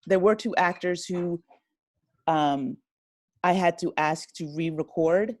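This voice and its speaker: A woman's voice: fairly low pitch, slow, darkish tone, no room sound. Woman guest, dry close sound, casual. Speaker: interview guest, audio drama writer and director. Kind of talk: casual conversational interview.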